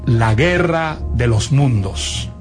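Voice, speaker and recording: A man's voice: medium pitch, expressive, slow pace. A man presenting a radio drama with a scripted, formal programme announcement. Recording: loud music behind the voice.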